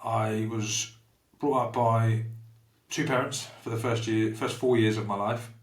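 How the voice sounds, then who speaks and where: A man's voice: on the low side, level tone, unhurried. A man in his thirties from Portsmouth with a Portsmouth English accent, talking openly and reflectively as a podcast guest, often restarting his sentences. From England. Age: 30s. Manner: open and reflective, with frequent restarts. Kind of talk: candid personal conversation. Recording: roomy, somewhat distant mic.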